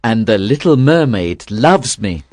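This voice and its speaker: A woman's voice: extremely low pitch, big pitch swings, a little slow, darkish tone, dry recording, clean studio sound, voice pushed down, heavily acted. A woman voicing a young male fisherman in love, speaking earnestly and insistently in an audiobook. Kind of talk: audiobook reading.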